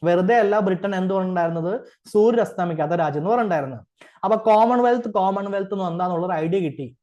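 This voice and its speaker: High-voiced Malayali man teaching. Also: a little mellow, highs cut off. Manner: rhetorical questions with frequent check-ins; mixes in English terms. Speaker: exam coaching teacher. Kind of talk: informal classroom-style lecture.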